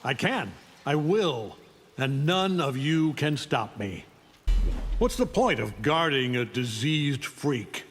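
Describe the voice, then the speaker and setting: Middle-aged superhuman tyrant for a fighting game: condescending, menacing, ringing in a big reverberant space. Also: male voice, medium pitch, animated, slow pace, faint music behind. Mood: cold, domineering. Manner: imperious, threatening, condescending. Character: arrogant, menacing middle-aged alien superhuman conqueror in a cape. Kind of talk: video game fight-intro dialogue. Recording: long hall-like reverb.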